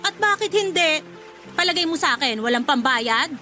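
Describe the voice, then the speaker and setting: A high-pitched, middle-aged Filipina mother in a radio drama, demanding and wheedling. She nags and pleads with her grown children over faint background music. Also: expressive, normal speed, sharp tone. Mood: indignant, wheedling. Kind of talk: Tagalog radio drama acting.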